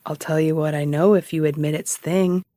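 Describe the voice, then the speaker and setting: An actress playing a cocky, sarcastic amateur investigator and broadcaster who teases and rambles defensively in an audio comedy-drama. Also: female voice, low voice, fairly even intonation, brisk, mellow, dry recording, natural voice. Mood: smug, needling. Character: cocky, needling amateur investigator and radio broadcaster, adult woman. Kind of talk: naturalistic audio fiction comedy-drama acting.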